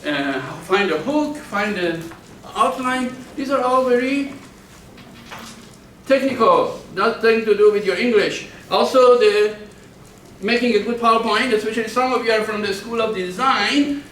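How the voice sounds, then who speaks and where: A male university lecturer giving a classroom lecture, speaking slowly and deliberately with careful enunciation and frequent restarts. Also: sits high, a bit bright. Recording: echoey classroom from far off, faint background noise.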